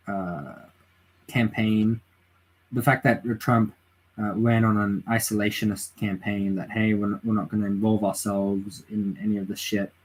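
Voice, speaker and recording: A man with a low, husky voice streams late at night from home and sounds tired. He talks through his views casually, restarting sentences often and filling gaps with uh and um. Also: a little mellow, low-quality recording. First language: English. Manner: rambling, reads chat aloud, frequent restarts and fillers. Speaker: livestreamer. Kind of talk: casual political livestream chat.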